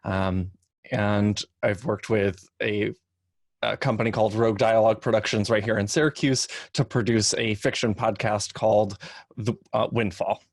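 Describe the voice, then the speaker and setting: A man's voice: fairly low pitch, steady tone, moderate pace, no room sound, studio quality. A man introducing himself casually as a panelist who produces podcasts.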